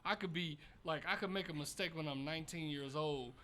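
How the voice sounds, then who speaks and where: A man's voice: on the high side, brisk, fairly bright tone, a little hiss or hum. A man in his forties hosting a podcast, blunt and casual in conversation. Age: 40s. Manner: blunt, agreeing, swears casually. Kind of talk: casual interview chat.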